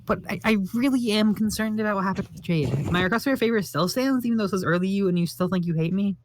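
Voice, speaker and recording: A man's voice: high voice, lively intonation, fast, a little hiss or hum. A man voicing a cheerful, naive teenage boy who asks eager, pleading questions. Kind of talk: comic read-along voice acting.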